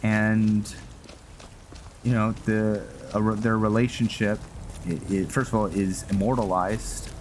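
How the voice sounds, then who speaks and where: A man hosting a roleplay game series with casual, halting commentary as he explains lore. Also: lowish voice, little pitch movement, a little hiss or hum. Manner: halting, thinking aloud.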